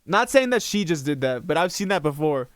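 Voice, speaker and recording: A high-pitched man talks his way through a reaction video, rambling and joking with plenty of swearing. His voice is smooth and clean. The mic is close and dry, with no room sound. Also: very animated, a little fast, no room sound. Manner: rambling, jokey, swears freely. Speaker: reaction streamer. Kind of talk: casual reaction commentary.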